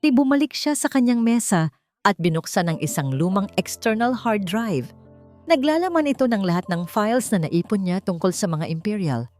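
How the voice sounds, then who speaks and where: A woman's voice: on the low side, darkish tone. A woman narrating a scripted dramatic story.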